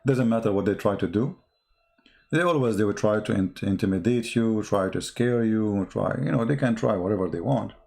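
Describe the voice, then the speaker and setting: Gravelly man with an Arabic accent. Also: lowish voice, darkish tone. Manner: blunt, combative, halting non-native English. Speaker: livestream host, religious polemicist. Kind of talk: casual livestream monologue answering chat comments.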